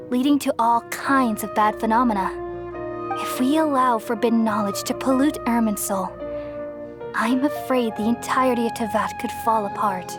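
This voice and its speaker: A woman playing a gentle, wise, ancient tree goddess in a video game, speaking in a measured, reassuring and solemn way. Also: mid-range voice, lightly acted. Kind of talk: dramatic game voice acting. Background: music.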